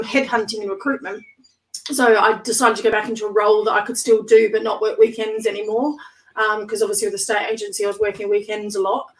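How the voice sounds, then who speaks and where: A woman guest on a casual video-call interview, slightly hoarse, chatting with lots of ums and easy laughs. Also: a little high, recorded from a distance, poor audio. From Australia.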